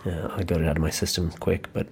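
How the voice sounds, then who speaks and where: A man's voice: sits low, little pitch movement, quick pace, creaky, a little mellow, a little hiss or hum. An actor playing a tired, hapless male office worker, sheepish and hesitant, in naturalistic audio drama dialogue. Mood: weary, sheepish.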